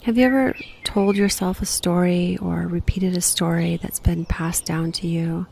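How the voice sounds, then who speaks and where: A woman guiding a meditation in a gentle, unhurried, breathy voice that sometimes nears a whisper, giving slow reflective prompts. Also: fairly low pitch, steady tone, a little hiss or hum. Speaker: guided meditation host.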